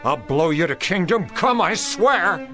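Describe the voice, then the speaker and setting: An actor playing a stern, resolute Confederate cavalry soldier in a radio drama, with a folksy, commanding Southern US accent. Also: male voice, high voice, very animated, measured pace, sharp tone, soft background music, heavily acted. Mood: threatening.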